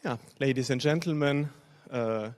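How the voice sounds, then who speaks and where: A man from Belgium whose first languages are German and French, giving a measured, formal opening address as panel chair. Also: mid-range voice, a little mellow, a little hiss or hum. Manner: measured, formal, reads from a text. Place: conference hall.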